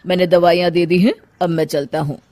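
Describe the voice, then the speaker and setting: A woman's voice: on the low side, fairly even intonation, fairly quick, heavy background noise, close to an everyday voice. A woman voicing a young man posing as a doctor in a cartoon story, speaking in a reassuring yet evasive way. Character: fake young male doctor, smooth-talking then jittery. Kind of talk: Hindi cartoon story voice acting.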